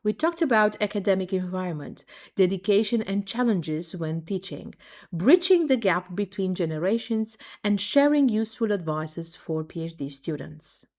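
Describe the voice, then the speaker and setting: A woman hosting a podcast, reading a formal introduction in a measured way and then asking the guest questions. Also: mid-range voice, animated, darkish tone. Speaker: podcast host.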